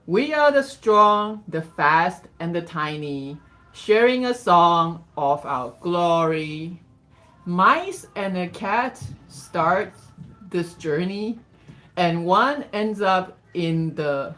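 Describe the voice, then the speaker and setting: A theatrical storybook narrator voiced by a woman tells a rhyming tale very slowly. The delivery is declamatory and singsong, with a slightly low base pitch. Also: lively intonation, a bit bright, heavily acted. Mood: grand. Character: storybook narrator of mice and a villainous cat, theatrical. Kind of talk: picture-book read-aloud in rhyme.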